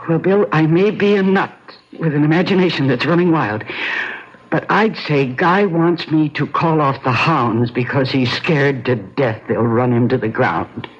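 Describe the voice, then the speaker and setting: An actress playing a defiant, determined woman in a radio mystery, speaking dramatically with deliberate, emphatic pauses. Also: sits low. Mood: defiant, urgent. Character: defiant, determined woman designer convinced of a murder plot. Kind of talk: old-time radio mystery acting. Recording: dull, lo-fi radio drama recording.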